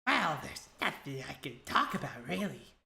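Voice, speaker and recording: A woman's voice: deep voice, very animated, normal speed, creaky, sharp tone, full character voice. A woman voicing a brash, self-important male tabloid journalist who rambles and quotes himself, in a fan dub of a game. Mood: rambling. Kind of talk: fan voice acting over a game playthrough. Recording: roomy room with game music. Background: game music.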